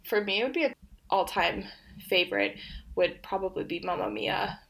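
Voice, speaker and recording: A woman's voice: medium pitch, normal speed, gravelly, a little hiss or hum. A woman in her twenties, whose first language is Polish, co-hosting a podcast and telling stories with frequent laughter. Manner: laughs often, warm storytelling. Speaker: podcast co-host. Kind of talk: casual chat.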